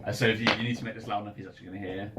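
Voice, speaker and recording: A man's voice: on the low side, fairly even intonation, very fast, a crowd in the background. A man casually narrating and refereeing a tabletop game as game master, in person at the table. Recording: background music under the voice.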